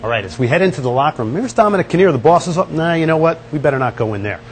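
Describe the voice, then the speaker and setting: A man's voice: fairly high pitch, animated, rapid-fire, faint music behind. A man, a sports TV host giving a chatty walking tour of a locker room on a lapel mic. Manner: upbeat, chatty, pointing things out as he walks. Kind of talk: walk-through tour presenting. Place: indoor locker room and hallways.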